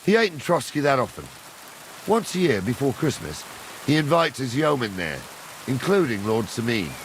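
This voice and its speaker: An actor playing a gruff, fatherly middle-aged captain of the guard, guarded and plain-spoken, with a husky voice, in a medieval game. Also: mid-range voice, big pitch swings, moderate pace, slight background noise, natural voice, low-quality recording. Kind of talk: video game dialogue acting.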